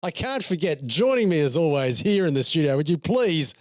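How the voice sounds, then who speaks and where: A man's voice: on the high side, very animated, a little fast, a little hiss or hum. An Australian man presenting and interviewing in an upbeat style as a podcast host in a studio.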